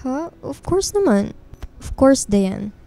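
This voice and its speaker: A woman's voice: high voice, very animated, slow pace, gravelly, warm tone, full character voice. A woman playing a caring, easily flustered young friend in a Filipino radio drama. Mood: comforting.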